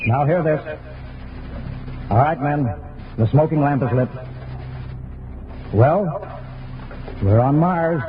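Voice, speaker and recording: An actor playing a stern, commanding naval spaceship captain in a radio drama, giving clipped military orders with authority. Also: male voice, on the high side, expressive, slow, pauses often, slight background noise. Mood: stern address. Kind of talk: old-time radio drama acting. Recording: tinny narrow-band old radio recording, music behind.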